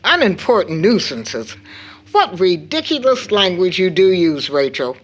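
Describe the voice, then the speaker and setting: A woman's voice: fairly low pitch, very animated, a little slow. A middle-aged woman acting a tired, stern but fond mother in a period audio drama, speaking with proper, precise, scolding phrasing. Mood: fondly scolding.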